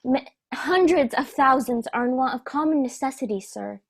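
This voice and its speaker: A genteel, earnest lady charity collector played by a woman in a radio play. Her voice sits high, and she speaks with formal politeness and a pleading sincerity. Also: normal speed, breathy edge. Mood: polite, earnest. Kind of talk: amateur radio play acting.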